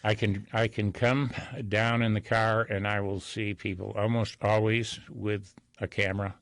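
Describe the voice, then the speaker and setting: Older man with a low, gravelly voice. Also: little pitch movement, unhurried, a bit bright, no echo. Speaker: interview guest, real estate broker. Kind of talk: anecdotal interview conversation.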